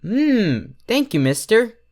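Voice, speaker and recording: A narrator voicing a shy, excitable young pegasus filly in a children's cartoon fan story. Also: medium pitch, takes it slowly, voice pushed up. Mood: eager.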